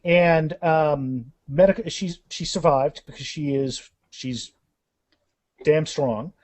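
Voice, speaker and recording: A man's voice: fairly high pitch, takes it slowly, a little mellow, no room sound. A man speaking as an author and interview guest, telling anecdotes in a casual, rambling conversational way.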